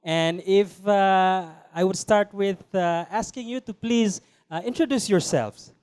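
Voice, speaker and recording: A man's voice: sits high, unhurried, darkish tone, clear, resonant tone. A man hosting a studio panel talk show, welcoming guests and introducing the program with many hesitant fillers.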